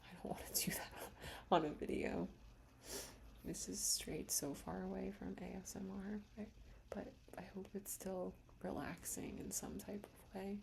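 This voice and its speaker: A young woman speaking in a soft, slow whisper, reflecting emotionally in a personal ASMR-style video. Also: medium pitch, fairly even intonation, vocal fry. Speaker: ASMR creator.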